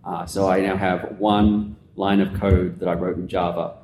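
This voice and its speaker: A man with an Australian accent, a conference guest casually explaining things with lots of fillers and restarts. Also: normal speed. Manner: relaxed, rambling explainer with many fillers. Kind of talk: casual conversational interview. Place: conference venue. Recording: echoey room with faint rumble.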